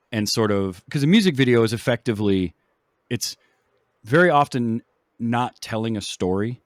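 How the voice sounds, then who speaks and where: A man chatting casually about films as a podcast panelist, thoughtful and measured, building his points from examples. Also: fairly low pitch, no room sound, clean studio sound. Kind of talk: casual film discussion.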